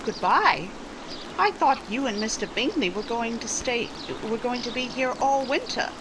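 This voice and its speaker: Woman acting proud young lady, spirited, crisp, lively intonation, 1940s radio drama style, noisy background. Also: on the high side, normal speed, natural voice. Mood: guarded. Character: proud, polite but spirited young unmarried daughter.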